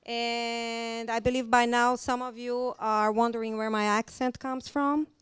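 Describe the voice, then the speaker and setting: A woman's voice: on the high side, level tone, measured pace, smooth. A middle-aged woman with a Brazilian Portuguese accent, giving a chatty seminar talk to a group in a hotel meeting room on a handheld mic, with many fillers. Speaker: children's ministry trainer. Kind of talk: seminar presentation.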